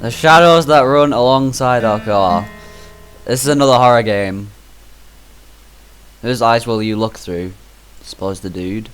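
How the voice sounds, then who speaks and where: A man's voice: medium pitch, measured pace, pauses often, faint music behind, low-quality recording. A man doing a casual game playthrough, reading lines aloud and tossing in blunt, sarcastic, sweary commentary. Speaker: gaming video host reading visual novels aloud.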